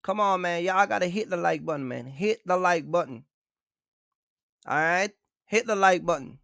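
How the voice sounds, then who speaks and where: A man's voice: high-pitched, expressive, moderate pace, gravelly, fairly bright tone, no room sound, studio quality. A man hosting a trucking livestream, talking casually and emphatically, repeating himself and asking viewers for likes. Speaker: trucking channel host.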